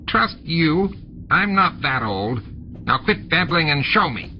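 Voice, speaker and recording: A man voicing a bossy, scheming old male alien patriarch in a querulous, drawn-out, imperious way. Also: on the high side, big pitch swings, muffled recording, faint music behind, voice pushed up, heavily acted. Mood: impatient, cackling. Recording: noisy audiobook with added sound effects.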